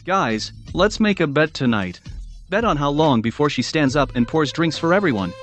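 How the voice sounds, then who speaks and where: A brash executive with a slightly high voice. He sounds arrogant and sneering, in dubbed melodrama style. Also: lively intonation, normal speed, fairly bright tone, soft background music. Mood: smug. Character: arrogant, scornful disgraced male executive.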